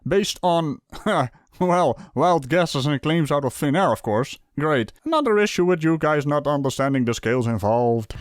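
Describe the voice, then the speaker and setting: A man's voice: on the high side, animated, normal speed, no room sound. A man giving mocking running commentary as a video host, with a sarcastic, theatrical and teasing delivery.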